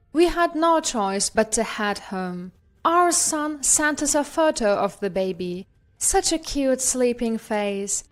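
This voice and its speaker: A woman reading a scripted personal story as a narrator, in a measured, deliberate storytelling manner. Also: a little high.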